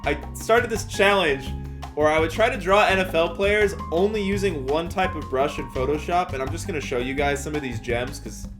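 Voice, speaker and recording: A young American man with a slightly high voice chats through a casual storytime vlog. His talk is slangy and self-deprecating. Faint music plays behind him. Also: normal speed. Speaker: YouTuber and storyteller. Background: background music.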